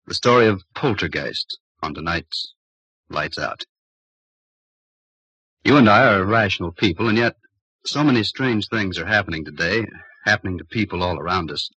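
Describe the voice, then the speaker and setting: An actor playing a solemn, ominous host narrating an old-time radio horror show in a deliberate, theatrical way. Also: male voice, low voice, expressive, bright, forceful tone, slightly rough, no room sound, exaggerated voice. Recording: dull old radio recording.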